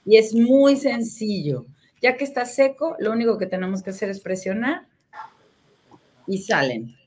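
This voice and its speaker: A woman's voice: mid-range voice, poor audio. A Mexican woman demonstrating a craft project, explaining each step as she works. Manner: explains step by step, warm and relaxed.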